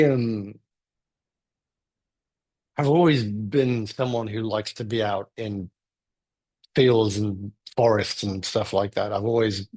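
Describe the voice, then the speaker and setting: A middle-aged man with an English accent, speaking as a guest in a relaxed interview, thoughtful and prone to restarts. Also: lowish voice, slightly rough. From United Kingdom. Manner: thoughtful, rambling with frequent restarts. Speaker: guest photographer. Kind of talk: casual interview conversation.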